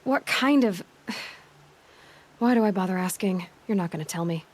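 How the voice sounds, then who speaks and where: An actress playing a guarded, stubborn young hunter in a video game cutscene, speaking in a dry, terse, sarcastic way. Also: female voice, mid-range voice, measured pace, darkish tone, lightly acted. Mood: guarded, weary. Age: young adult.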